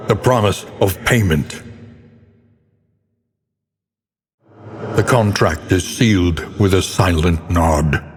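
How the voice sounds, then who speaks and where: An actor playing a grave, brooding narrator of a gothic horror game, with solemn, theatrical, deliberate delivery. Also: male voice, low-pitched. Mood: stern, foreboding. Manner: ominous, solemn, deliberate, ornate phrasing. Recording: long cavernous reverb.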